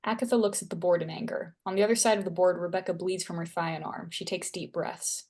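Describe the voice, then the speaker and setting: A woman's voice: lowish voice, fairly even intonation, fast talker, a little mellow. A woman reading stage directions aloud as scripted narration at a screenplay table read.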